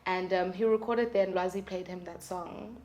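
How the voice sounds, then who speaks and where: A South African woman chatting casually as an interview guest, a singer-songwriter who tells stories in an animated way and acts out what people said. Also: fairly low pitch, moderate pace, slight background noise.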